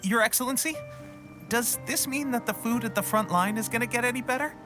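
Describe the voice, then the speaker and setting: A man's voice: very high-pitched, brisk, over music. A man voicing an eager, friendly young resistance soldier in a video game, chatty and earnest. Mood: curious, eager. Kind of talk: video game character acting.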